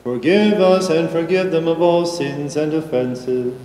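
A middle-aged priest intoning prayers, slow and solemn, with a mellow, darkish voice and a touch of lift in pitch. His chant rings out through a large church with a long echo, faint organ music underneath. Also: a little hiss or hum. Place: large church sanctuary at the altar. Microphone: far camera across the church. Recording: echoey church with long reverb.

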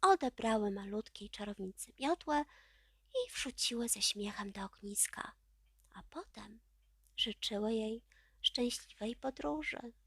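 A woman reads a children's story expressively. Her voice is fairly high and she takes her time, recorded dry and close with hardly any room sound. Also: lively intonation, unhurried, husky, no room sound. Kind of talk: expressive children's audiobook narration.

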